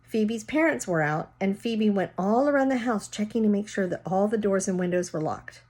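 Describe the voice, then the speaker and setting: A woman's voice: mid-range voice, lively intonation, moderate pace, a little mellow. A woman reading a children's story aloud, narrating and casually explaining words as she goes.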